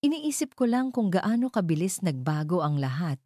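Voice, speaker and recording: A woman voicing a loving, emotional young mother in a narrated romance drama, soft and heartfelt. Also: fairly low pitch, sing-song, normal speed, dry recording, studio quality. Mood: wistful.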